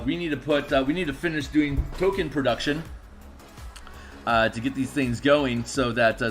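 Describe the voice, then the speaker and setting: A raspy, tired man chatting casually on a live stream while making game art, rambling and muttering to himself as he works. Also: lowish voice, a bit bright. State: tired, just woke up.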